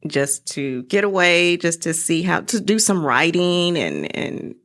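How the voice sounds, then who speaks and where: A woman's voice: fairly low pitch, sing-song, unhurried, a bit bright. A woman chatting casually as a guest in an interview, recorded in a podcast studio.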